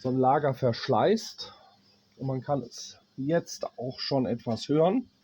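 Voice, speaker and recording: German repairman with a cold; blunt, unhurried, talks while working. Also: mid-range voice, expressive, dark tone, slightly rough. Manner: blunt, plain-spoken, swears at cheap gear; pauses while working, talks to himself as he checks parts. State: has a cold, coughing. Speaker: appliance repairman. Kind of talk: hands-on repair explanation.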